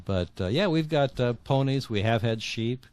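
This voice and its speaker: A man's voice: medium pitch, animated, normal speed, darkish tone, clean tone, dry recording. A middle-aged American man from Iowa answering casually as an interview guest, often repeating words as he goes. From northwest Iowa, United States. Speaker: podcast guest, fiction author.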